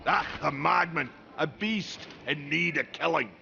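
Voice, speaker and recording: Slow, creaky-voiced solemn chieftain. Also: male voice, on the high side, noisy background. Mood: brooding. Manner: slow, grave storytelling. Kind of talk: video game cutscene acting. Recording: dull sound with music behind.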